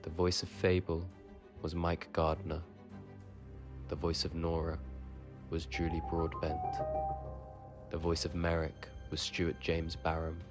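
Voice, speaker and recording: Male announcer for scripted end credits. Low, unhurried delivery over clear music. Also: completely flat delivery. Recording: music under the voice.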